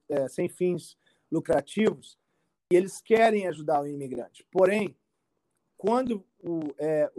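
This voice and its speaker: Male expert guest, Brazilian accent, informal explanatory answers, halting delivery with frequent check-ins, dry sound. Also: fairly high pitch, dark tone, no room sound. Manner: halting, repetitive, checks understanding often. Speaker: immigration expert being interviewed.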